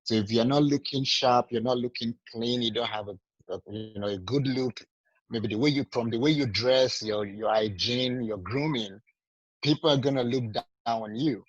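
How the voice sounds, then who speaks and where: A man's voice: medium pitch, moderate pace, dry recording. Nigerian man with a dull, dry sound. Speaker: sales agent. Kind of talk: casual group training talk.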